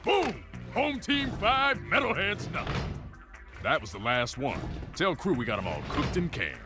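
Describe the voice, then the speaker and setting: A man's voice: fairly high pitch, very animated, measured pace. A man playing a brash, folksy monster hunter in a video game, talking in cocky, teasing slang. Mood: triumphant. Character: swaggering rough-and-ready male monster hunter, cocky and folksy. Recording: game music underneath.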